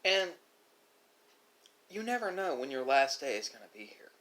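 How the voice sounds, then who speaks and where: A man delivering a reflective monologue, speaking philosophically with dramatic pauses and emphasis. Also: mid-range voice, very animated, bright, forceful tone. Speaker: video creator.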